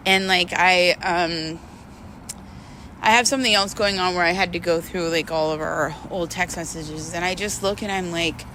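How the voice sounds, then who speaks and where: A woman talking in a casual, confessional monologue, candid and halting, repeating words for emphasis. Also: on the low side, steady tone, sharp tone, a little hiss or hum. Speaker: vlogger.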